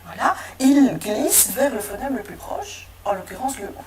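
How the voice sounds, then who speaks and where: A woman teacher demonstrating pronunciation work with a student in a lively way. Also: mid-range voice, normal speed, crowd noise. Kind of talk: interview testimony.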